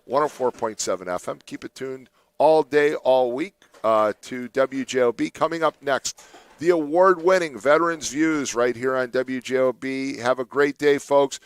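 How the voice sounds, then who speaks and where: A man's voice: medium pitch, moderate pace, clean tone, no echo. A man hosting a morning radio show, chatting casually and laughing often with his co-host. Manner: chatty, laughs often, does playful mock voices. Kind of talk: casual studio banter. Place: radio studio.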